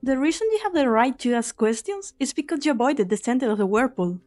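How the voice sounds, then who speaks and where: A woman acting as a young mercenary leader with a high voice. She is cynical and unsentimental, delivering everything with a sly, taunting edge. It is anime game dub acting with soft music underneath. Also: animated, fast talker. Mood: flippant, evasive.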